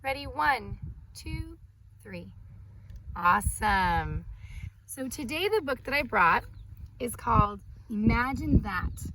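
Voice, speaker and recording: Female children's storytime host, high voice, expressive delivery with simple explanatory asides. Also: slow pace, long pauses, noisy background. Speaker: children's read-aloud host and art teacher. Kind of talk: reading a picture book aloud to children, with asides.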